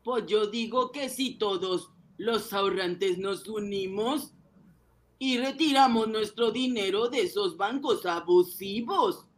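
A man's voice: sits high, takes it slowly. A man playing a naive, earnest ordinary saver, a little hesitant, in an amateur radio drama reading. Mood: indignant.

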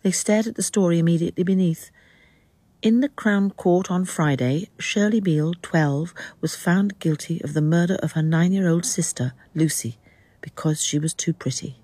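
Actress as sober woman narrating a grim radio crime drama, dark low voice, very dry close sound. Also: moderate pace, dry recording, close to an everyday voice. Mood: grave, hushed. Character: sober female narrator reading grim case evidence.

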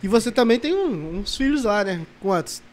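High-voiced chatty Brazilian host. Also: male voice, big pitch swings, moderate pace, a little hiss or hum. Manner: friendly and chatty, asks short follow-up questions. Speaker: interviewer, host. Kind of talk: casual interview.

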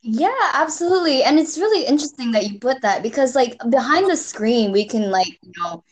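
Brief: young woman, American accent with Singaporean traces, slightly high pitch, expressive, quick to laugh and swear. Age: young adult. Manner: lively, expressive, laughs often, swears casually. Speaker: podcast guest. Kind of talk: casual chat.

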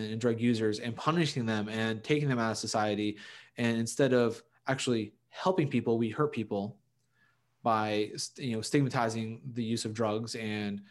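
This is a man with a mid-pitched voice, chatting casually as a podcast co-host. He is emphatic and opinionated. His explanations ramble, circling back as he makes his point.

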